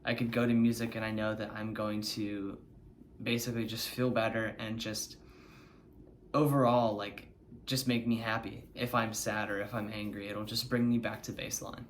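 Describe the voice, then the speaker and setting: Earnest low-voiced young man. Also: level tone, moderate pace, slight background noise. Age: 20s. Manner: earnest and heartfelt. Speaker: band member. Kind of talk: documentary interview.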